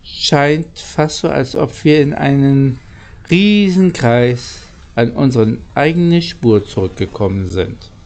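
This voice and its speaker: Man reading as a calm, slow-spoken engine driver. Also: mid-range voice, gravelly. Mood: puzzled. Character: knowing, calm, good-natured grown male engine driver.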